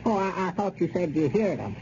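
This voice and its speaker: High, bright, dim but kindly old Southern country fellow; vintage radio comedy. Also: male voice, sing-song, a little fast. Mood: puzzled. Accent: rural Southern American. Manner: folksy, befuddled, muttering. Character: dim-witted, kindly, literal-minded old backwoods man. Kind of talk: 1930s-40s radio comedy acting. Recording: dull, noisy old radio recording.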